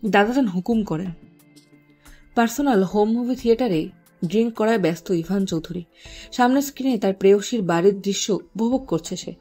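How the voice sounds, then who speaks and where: Woman narrator, medium pitch, mellow tone, scripted storytelling, faint music underneath. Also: a little fast, husky.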